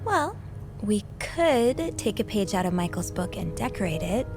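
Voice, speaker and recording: An actress voicing a young hotel maid in a video game, playful but easily shaken, in a naturalistic style. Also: female voice, mid-range voice, sing-song, unhurried, over music. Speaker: hotel worker character.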